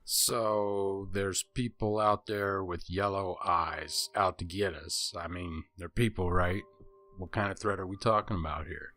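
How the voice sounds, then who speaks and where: A man's voice: deep voice, fairly even intonation, unhurried, sharp tone. A man acting a blunt, foul-mouthed young peacemaker in a horror audio story. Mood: tense, confused.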